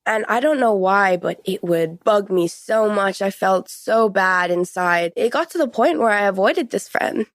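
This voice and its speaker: A woman's voice: mid-range voice, animated, unhurried, hardly any pauses, no echo. A woman in her late teens giving a conversational personal account as a podcast guest.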